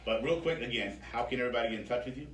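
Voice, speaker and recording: A man chats casually as a radio interview host, with a joking, informal manner. His voice sits at a middle pitch. It is picked up at a distance in an echoey room with faint noise. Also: rapid-fire, a bit bright. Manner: jokey, informal, slangy.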